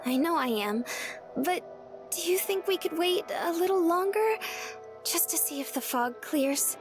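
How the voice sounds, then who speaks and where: A woman voice acting a gentle, earnest young woman in a visual novel, with a hesitant, pleading manner. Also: very high-pitched, animated, measured pace. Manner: soft-hearted, hesitant, pleading. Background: background music.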